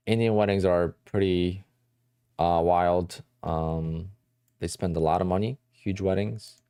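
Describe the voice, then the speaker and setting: A man's voice: low voice, steady tone, measured pace, dark tone, clear, resonant tone, no echo, clean studio sound. A man from New Jersey hosting a day trading livestream, chatting casually and rambling as he answers questions from his chat.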